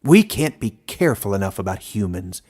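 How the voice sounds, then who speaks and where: Man voicing a gruff, growling, suspicious coyote. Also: on the low side, sing-song, a little fast, darkish tone. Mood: wary, suspicious. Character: small suspicious coyote creature, wary of humans.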